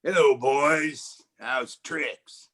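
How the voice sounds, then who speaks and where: An actor playing a glib, wisecracking 1940s private detective in a campy noir radio play. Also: male voice, mid-range voice, very slow, fairly bright tone. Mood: cocky. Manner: glib, slangy.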